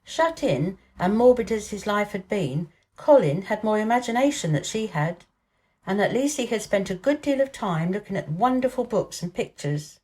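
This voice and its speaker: A woman's voice: mid-range voice, sing-song, moderate pace, a little mellow. A woman reading a children's storybook aloud as a scripted narration. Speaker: storybook reader.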